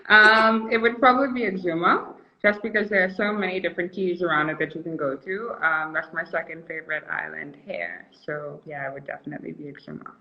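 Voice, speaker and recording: A woman chats in a friendly way, with a fair number of ums. Her voice sits fairly low for a woman, and the phone audio is muffled and lo-fi. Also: fairly even intonation, a little fast, few pauses. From Bahamas. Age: 20s. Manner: friendly and chatty, lots of ums. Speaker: guest. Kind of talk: casual interview chat. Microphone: phone, joining a live stream remotely.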